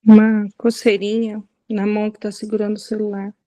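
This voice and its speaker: A woman's voice: medium pitch, completely flat delivery, brisk, dark tone, dry recording. A woman student asking her teacher questions tentatively, adding small tag words as she speaks.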